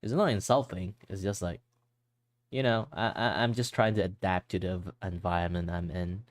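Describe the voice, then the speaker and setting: Young Malaysian male streamer, lowish voice, dry close sound, rambling chatty delivery that code-switches into Malaysian English. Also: steady tone, normal speed, dry recording. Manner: rambling and chatty, with many fillers and restarts. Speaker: art streamer. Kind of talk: casual chat while drawing on stream.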